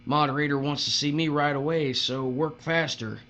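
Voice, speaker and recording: An actor playing a smug, menacing overseer in an audio drama, sneering and drawling with mock politeness. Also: male voice, fairly high pitch, steady tone, normal speed, fairly bright tone, natural voice. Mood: smug, leering. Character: menacing, smug male overseer who lords over workers. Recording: dull recording with humming mains noise.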